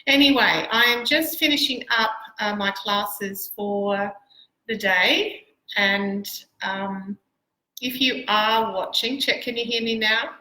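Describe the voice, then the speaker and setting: A woman's voice: medium pitch, fairly even intonation, takes it slowly, sharp tone. A middle-aged Australian woman, a piano teacher, chatting casually to livestream viewers in a hesitant, stop-start way. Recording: roomy, echoey livestream sound.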